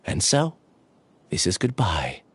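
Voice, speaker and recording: An actor playing an earnest, troubled young male scholar in an anime-style video game, with measured, formal delivery. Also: deep voice, very animated, a bit bright, breathy edge, no room sound, exaggerated voice. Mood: resigned.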